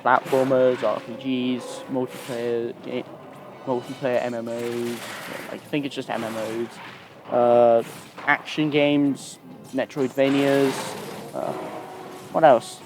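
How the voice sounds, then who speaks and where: A man hosting a gaming video, giving casual, semi-improvised list commentary in a halting way, often trailing off and repeating words. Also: mid-range voice, flat delivery, over music. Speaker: host of a gaming video channel.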